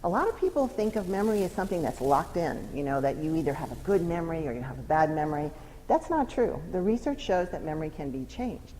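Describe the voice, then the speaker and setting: A woman's voice: sits low, fast talker. Woman, psychology professor, emphatic conversational lecture, faint background hiss, reverberant hall. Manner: lively, emphatic, conversational teaching. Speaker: psychology professor giving a talk. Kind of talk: lecture presentation.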